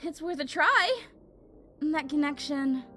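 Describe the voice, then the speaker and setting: A woman's voice: high-pitched, animated, a little slow, bright, forceful tone, faint music behind, heavily acted. A woman playing an impulsive young fire mage with a casual, youthful way of talking in a fantasy audio drama. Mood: eager.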